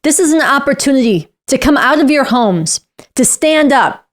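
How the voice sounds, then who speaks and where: A woman's voice: high voice, animated, moderate pace. A woman making a rousing, declamatory political announcement that rallies listeners.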